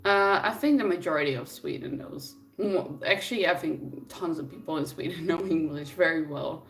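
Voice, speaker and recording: A woman's voice: deep voice, moderate pace, vocal fry, distant mic, poor audio. A woman from the Netherlands, a native Dutch speaker, chatting casually and thinking aloud as she answers viewers on a live stream. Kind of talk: casual chat, reading and answering questions.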